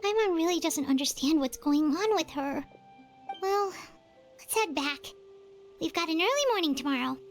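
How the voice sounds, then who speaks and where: A woman voicing a small, chatty, childlike fairy companion in a video game, expressive and worried. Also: very high voice, moderate pace, faint music behind. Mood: uneasy. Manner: refers to self in third person, expressive. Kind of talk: video game character acting.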